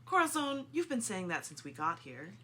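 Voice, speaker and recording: A woman's voice: mid-range voice, big pitch swings, moderate pace, bright, forceful tone. A woman playing a cheerful, nosy, teasing mother in a dramatic comic reading. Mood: teasing, curious.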